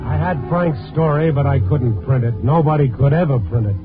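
A man's voice: mid-range voice, normal speed, lightly acted. An American man playing a tough, world-weary newspaper reporter in a hardboiled 1950s radio drama. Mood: weary, reflective. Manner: hardboiled, blunt. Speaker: lead actor and narrator. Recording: tinny old 1950s radio recording, music.

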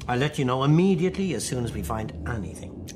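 An Irish man acting as a brisk, reassuring private detective in a radio play. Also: mid-range voice, lively intonation, noisy background, close to an everyday voice. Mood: calm, reassuring. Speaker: actor.

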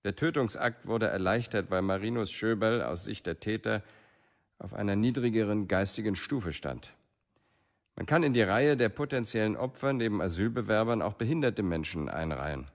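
A man's voice: fairly low pitch, lively intonation, normal speed. An actor playing a sober male official in a documentary radio play, speaking formally and analytically. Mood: detached.

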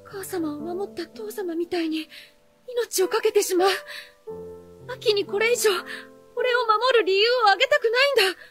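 A woman's voice: very high-pitched, moderate pace, a bit bright, faint music behind. A woman playing a guarded, stubborn, anguished young girl who talks like a boy in a radio drama. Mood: pained resolve. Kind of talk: Japanese radio drama acting.

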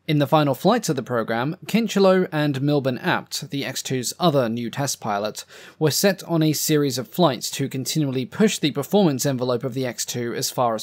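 A man narrating a scripted aviation history documentary in a measured, earnest way, his voice a bit uneven from having lost it. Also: mid-range voice. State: voice a bit up and down from losing it.

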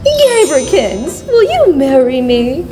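A woman's voice: high voice, very animated, takes it slowly, full character voice. A woman voicing a flamboyant, obsessive, shameless rich woman in a theatrical cartoon comic dub. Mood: flirtatious. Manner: gushing, theatrical. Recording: long reverb, music behind.

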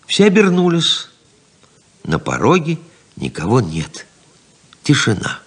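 A man's voice: medium pitch, huge pitch swings, takes it slowly, fairly bright tone, slightly rough. A man narrating a scripted radio play in a dramatic style.

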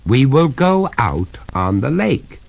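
Man playing a coolly courteous, unyielding gentleman, lively pitch. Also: slow pace, full character voice. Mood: firm. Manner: polite, firm, coldly taunting.

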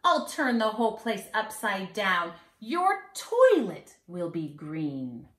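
A woman's voice: medium pitch, very animated, takes it slowly, fairly bright tone, reverberant. A woman voicing a naughty, boastful little old leprechaun in a storybook, taunting in theatrical singsong rhymes. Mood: mischievous boasting. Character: naughty, boastful two-hundred-year-old little male leprechaun trickster. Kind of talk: storybook read-aloud. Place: living room at home.

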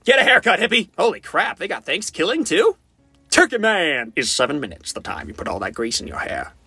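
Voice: mid-range voice, sing-song, brisk, over music. An amateur voice actor playing a cocky, goofy, sarcastic survivor in fan-made video game voice lines, flippant and panicky with theatrical delivery. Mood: mocking.

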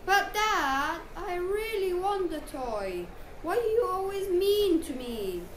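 A woman's voice: extremely high pitch, big pitch swings, slow, exaggerated voice. A woman playing a sulky, pleading young boy in a radio drama, with a whiny delivery. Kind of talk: amateur radio drama acting. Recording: echoey room, some background noise.

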